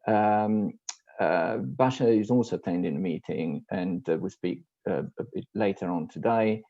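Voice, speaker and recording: A man's voice: low-pitched, moderate pace, gravelly, dark tone, muffled recording. A man moderating an online meeting, thanking a speaker and announcing a break with many hesitant fillers.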